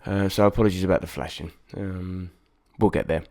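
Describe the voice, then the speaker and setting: Male singer-songwriter, deep nasal voice, rambling and self-critical vlog chatter. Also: steady tone, brisk, a little mellow. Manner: rambling, self-deprecating, frequent restarts. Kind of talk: reflective casual vlog talk.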